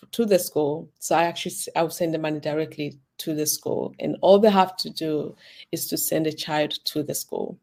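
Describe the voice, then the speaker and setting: A woman's voice: low voice, warm tone. A woman born in Uganda and raised in Sweden, chatting as a guest in an interview, talking in loose rambling sentences. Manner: rambling, many restarts and "like"s. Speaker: guest, designer and business owner. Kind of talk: casual interview chat.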